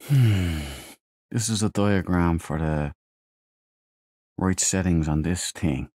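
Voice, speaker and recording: A man records casual gaming commentary in a deep voice. He talks slowly, muttering and thinking aloud. The sound is close and dry, with almost no room. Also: darkish tone, dry recording. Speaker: gamer. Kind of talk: casual let's play commentary.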